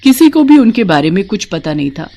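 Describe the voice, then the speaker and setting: A woman's voice: mid-range voice, big pitch swings, a little fast, mellow, highs cut off. A woman reading a scripted devotional moral tale as a storyteller. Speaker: narrator.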